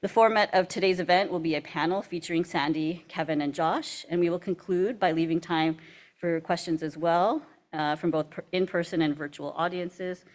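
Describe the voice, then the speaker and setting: A woman moderating a university panel, reading speaker introductions in a measured, formal way. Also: fairly low pitch, fairly even intonation. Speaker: event moderator. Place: university event hall.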